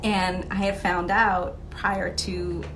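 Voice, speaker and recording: Laughing, animated woman telling stories. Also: fairly low pitch, slow pace, noisy background. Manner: animated, laughs often, many fillers. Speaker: podcast guest, memoir author. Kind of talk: casual interview storytelling.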